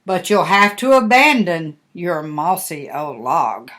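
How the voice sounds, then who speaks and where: A woman's voice: lowish voice, expressive, takes it slowly, a bit bright, voice pushed down. A woman voicing a kindly, helpful storybook dog in a polite, reasonable manner. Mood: kindly reluctant.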